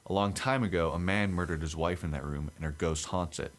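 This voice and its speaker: A young man with a deep radio-like voice, co-hosting a casual podcast and reading a creepy story aloud. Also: brisk. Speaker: podcast co-host.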